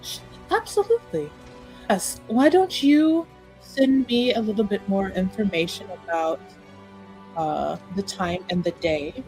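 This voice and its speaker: A woman's voice: mid-range voice, lively intonation, unhurried, a little mellow, faint music behind, low-quality recording. A woman playing a gracious, diplomatic noblewoman in a tabletop game, earnest and courteous, with formal, careful wording. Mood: obliging. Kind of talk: tabletop roleplay dialogue.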